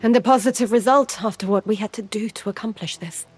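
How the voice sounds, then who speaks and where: A woman acting a protective companion in a fantasy game. She speaks formally and with composure, in a middling pitch, as someone who takes charge. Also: fast, darkish tone, faint music behind, lightly acted. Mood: reassuring, composed.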